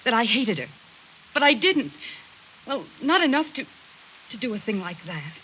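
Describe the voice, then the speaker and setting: A woman's voice: on the high side, very animated, normal speed, bright, forceful tone, poor audio. An actress playing a tense, defensive, emphatic woman in a 1940s radio drama. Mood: defensive, anxious. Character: tense, defensive women of a rooming house. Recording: hissy old radio recording.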